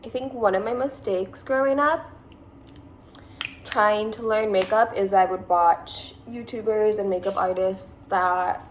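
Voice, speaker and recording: A woman's voice: mid-range voice, a little slow, slight background noise, rough recording. A woman in her twenties with a Californian accent, chatting casually through a makeup tutorial, rambling and saying "like" often. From Massachusetts, USA. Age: 20s. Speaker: beauty vlogger.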